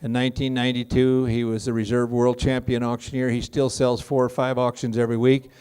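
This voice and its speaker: Smooth-voiced folksy male emcee. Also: mid-range voice, little pitch movement, fairly quick, darkish tone. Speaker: auction school host. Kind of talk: introducing guests on stage. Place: auction hall.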